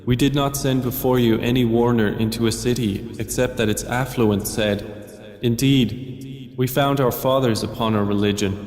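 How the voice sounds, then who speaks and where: Solemn man reading scripture in deep reverb. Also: fairly even intonation, darkish tone. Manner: measured, short deliberate phrases. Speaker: translation narrator. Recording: heavy echo, long reverb.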